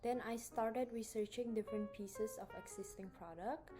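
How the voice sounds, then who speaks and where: A woman's voice: a little high, steady tone, normal speed, over music. A teenage girl from Indonesia giving a scripted school project presentation, earnest and read aloud, her phrases trailing off at the ends. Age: teens. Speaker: student presenter.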